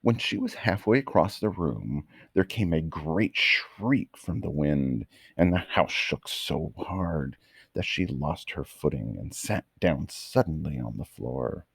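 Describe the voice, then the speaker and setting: Super low raspy guy reading a kids bedtime story, really animated, dry close sound. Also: takes it slowly, no room sound. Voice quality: gravelly, husky. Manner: expressive, dramatic storytelling. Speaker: storyteller reading a children's book aloud.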